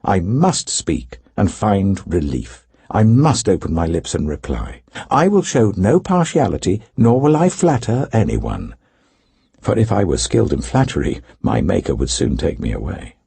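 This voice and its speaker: Indignant young biblical speaker, acted by a male narrator, emphatic and oratorical, gravelly, dramatically varied in pitch. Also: big pitch swings, moderate pace, warm tone, dry recording, exaggerated voice. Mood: indignant, earnest. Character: earnest, indignant young man rebuking his elders.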